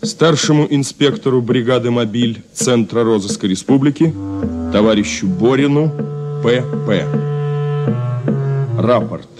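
An actor playing a police officer who reads out a report in a dry, official, measured manner in a radio drama. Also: male voice, mid-range voice, a little mellow, natural voice. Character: formal male police officer reading out a report.

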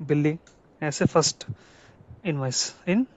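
A man presenting a software tutorial, explaining step by step in short, halting, deliberate chunks. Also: on the high side. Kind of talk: explanatory tutorial, semi-improvised. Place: voice-over for a screen recording. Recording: dull lo-fi close dry recording.

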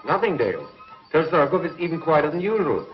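A commanding middle-aged male commissioner in 1950s space television. He talks quickly in a clipped, official way, a little high in pitch. The old soundtrack sounds muffled. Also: very animated, distant mic, soft background music. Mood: businesslike. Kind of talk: 1950s TV serial acting. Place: studio control-room set.

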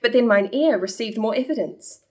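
A woman playing a measured, thoughtful young nobleman in a staged reading of verse drama. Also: fairly high pitch, lightly acted. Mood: measured, admiring.

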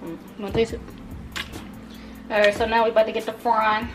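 A woman's voice: on the high side, little pitch movement, fairly quick. A young adult woman chatting casually as she vlogs at home, recorded on a camera a few feet away. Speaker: vlogger. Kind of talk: casual home cooking vlog chat. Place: small home kitchen, indoors. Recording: echoey kitchen, distant camera mic, music behind.

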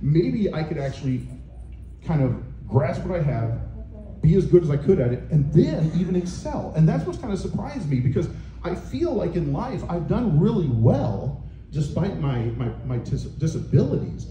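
A middle-aged man giving a conference talk on a handheld microphone in a hotel meeting room, loud and booming, with many fillers and restarts. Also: sing-song, moderate pace, lo-fi sound. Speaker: conference presenter. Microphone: handheld microphone through room speakers. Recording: echoey conference room, faint noise.

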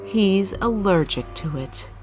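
A woman playing a calm, matter-of-fact hospital doctor in an audio drama, with a measured clinical manner. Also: medium pitch, faint music behind. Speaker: voice actor.

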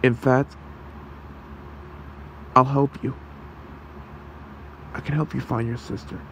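A man voicing a gentle, protective fox creature in an ASMR roleplay, with a soothing, halting, gravelly delivery. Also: mid-range voice, little pitch movement, a little hiss or hum, rough recording. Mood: comforting. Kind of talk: ASMR roleplay, soft comforting talk.

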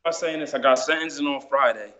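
A man's voice: medium pitch, fast, sharp tone. A man, chatting casually and explaining things in informal slang. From New Jersey, United States. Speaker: guest storyteller, amateur musician. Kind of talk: casual chat. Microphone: phone held close, video call. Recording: dull lo-fi video call.

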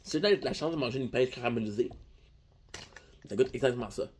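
A man's voice: mid-range voice, a little fast, a little hiss or hum. A young man with a Québécois French accent, chatting casually in a candy-tasting vlog, rambling and joking with his viewers, recorded on a phone at arm's length in a small room. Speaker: vlogger. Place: small room indoors at night.

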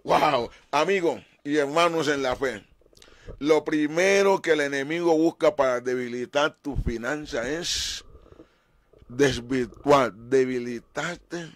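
A man's voice: a little high, slow, no room sound. A middle-aged man hosting a faith talk show, preaching emphatically with dramatic rises in his voice, at a desk mic in a small studio. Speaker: pastor and show host. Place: small studio with painted backdrop. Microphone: desk mic on stand.

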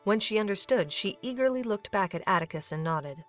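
A woman's voice: medium pitch, expressive, fairly quick, soft background music. A woman reading scripted narration for an audio drama, as a storyteller.

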